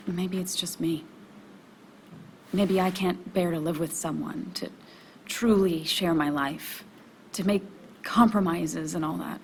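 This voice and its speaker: Young woman, grieving and guarded, halting confessional game dialogue, some background noise. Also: lowish voice. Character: grieving, guarded young woman, emotionally raw but determined. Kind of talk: naturalistic video game acting.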